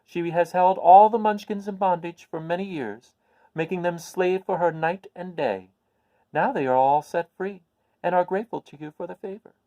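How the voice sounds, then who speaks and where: A man voicing a kindly little old woman, a good witch from a storybook, speaking in an emphatic, deliberate way. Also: high voice, dark tone, no echo, dull audio, voice pushed up. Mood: calm, proud. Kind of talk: storybook character voice.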